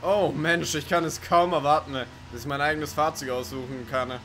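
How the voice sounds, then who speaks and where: A man's voice: on the high side, fairly even intonation, moderate pace, a bit bright, slight background noise. A man giving casual, rambling, sweary let's play commentary over racing game sounds. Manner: rambling, sweary, joking to himself. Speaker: gaming video commentator.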